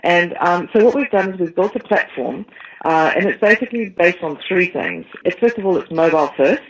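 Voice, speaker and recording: A woman's voice: fairly low pitch, lively intonation, fairly quick. A woman with a New Zealand accent, a tech company CEO, explaining her business at length as an interview guest. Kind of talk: interview answers. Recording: dull lo-fi line.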